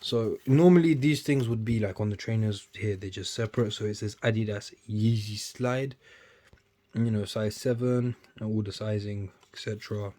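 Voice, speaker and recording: A young man talks in a low, easygoing voice. He rambles casually through an unboxing, his words often dropping to a near mumble before picking up again. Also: steady tone, normal speed. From United Kingdom. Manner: chatty, rambling, trails off quietly between bursts. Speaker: sneaker YouTuber.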